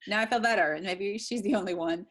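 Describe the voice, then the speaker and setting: A woman's voice: mid-range voice, fast talker. A woman hosting an interview panel, asking questions in a friendly, conversational way. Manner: friendly, conversational, easy laughter. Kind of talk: casual interview chat.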